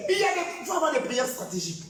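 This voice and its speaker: A male pastor preaching a sermon, often shouting his points and acting out prayers. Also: extremely high pitch, big pitch swings, very fast, a crowd in the background. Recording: echoey hall, voice from far off.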